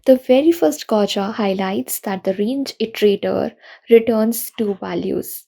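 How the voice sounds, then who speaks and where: A young woman giving a recorded conference talk, explaining programming pitfalls in an earnest, steady way. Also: medium pitch, creaky. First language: not Russian. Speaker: conference speaker.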